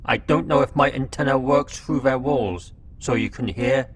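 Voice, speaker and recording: A man's voice: normal speed, lightly acted. Talking locust messenger, voiced by a man, noisy recording. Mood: reporting gravely. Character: talking locust, gossipy messenger relaying family quarrels. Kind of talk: radio drama acting. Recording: clear background noise with low rumble.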